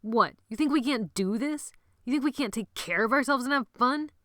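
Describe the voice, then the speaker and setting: Woman doing a brash bossy teen boy gang leader, loud and scornful, bright and dry, audiobook. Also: a little high, animated, moderate pace, no echo, voice pushed up. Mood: defiant. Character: cocky teenage boy ringleader, brash and bossy. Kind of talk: audiobook character voice.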